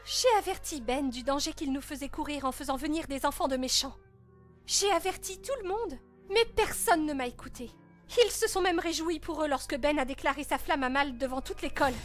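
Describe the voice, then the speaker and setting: A woman's voice: high voice, fairly quick, faint music behind, close to an everyday voice. A woman voicing a spoiled, jealous teenage princess with a snooty, dramatic manner in a fan-dub narration. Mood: snide.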